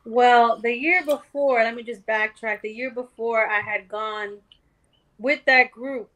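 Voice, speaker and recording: A woman's voice: a little high, fairly even intonation, measured pace, a bit bright, poor audio. A middle-aged woman guest from New York on a casual podcast, telling her story candidly and swearing freely. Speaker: guest author.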